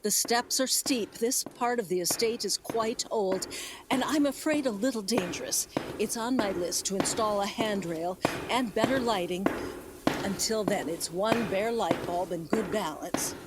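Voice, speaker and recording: A woman's voice: mid-range voice, a little slow, noisy background, close to an everyday voice. A woman acting a gracious, commanding, flirtatious vineyard owner with genteel, theatrical emphasis in a comedic radio play. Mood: confiding.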